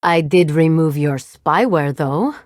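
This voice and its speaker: A woman voicing a coolly scientific female agent in a video game, with smooth, controlled, precise and literal delivery. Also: deep voice, slow pace, no echo, clean studio sound. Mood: detached, curious. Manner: precise, formal, literal, deadpan. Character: formal, coolly scientific female nanite-enhanced agent, detached and curious. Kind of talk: video game character voice lines.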